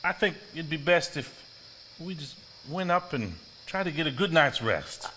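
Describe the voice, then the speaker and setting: An actor playing a protective, weary husband in a live stage drama, earnest and emphatic. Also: male voice, fairly high pitch, animated, normal speed, sharp tone, a little hiss or hum. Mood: gently pleading. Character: protective, weary husband, firm when provoked.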